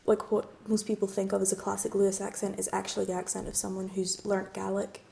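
A woman's voice: mid-range voice, monotone, fast, creaky, warm tone. A young woman from the Western Isles of Scotland, a university student chatting casually and asking questions. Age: young adult. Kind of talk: casual chat.

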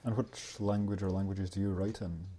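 A man's voice: low-pitched, flat delivery, normal speed, dark tone, slightly rough. A man interviewing a guest, asking probing questions and backchanneling often. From Scotland. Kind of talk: research interview.

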